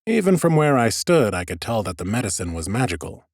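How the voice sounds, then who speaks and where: A man's voice: on the low side, very animated, a little fast, a little mellow, no echo. A man narrating scripted first-person fantasy audiobook prose with wry understatement, close on a studio mic. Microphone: close studio microphone.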